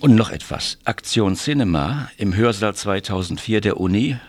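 A man with a very low voice announces events on the radio. He is informal and chummy, speaking straight to his listeners. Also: lively intonation, moderate pace, background noise.

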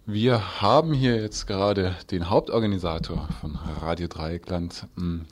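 A male radio host with a low voice presents live from a studio. His delivery is informal and conversational, like unscripted presenting. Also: a little slow.

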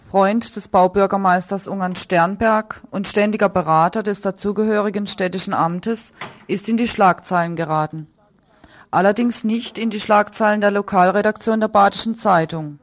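Woman, mid-pitched voice, news presenter, scripted report and commentary.

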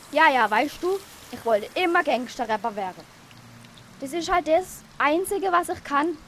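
A wannabe gangster rapper played by a woman talks in pushy Swabian slang, brash but wounded. The voice is on the high side for a radio play, with slight background noise. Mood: confiding, dejected. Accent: Swabian German. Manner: slangy, pushy, punctuates talk with "hä".

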